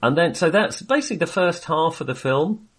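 A man's voice: on the high side, lively intonation, a little fast, breathy edge. A man hosting a casual film discussion, talking in a hesitant, jokey way with wry understatement. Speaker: film podcast host.

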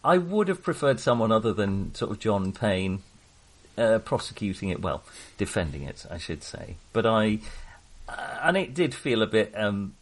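A man's voice: fairly low pitch, big pitch swings, moderate pace. A man hosting a casual film discussion, speaking hesitantly and often correcting himself as he digresses. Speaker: podcast host.

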